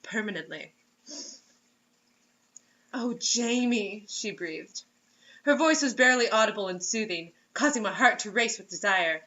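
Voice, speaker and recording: A woman's voice: medium pitch, animated, measured pace, long pauses, sharp tone, highs cut off. A woman reading a story aloud as host, in a mocking dramatic way, breaking off for sarcastic casual asides.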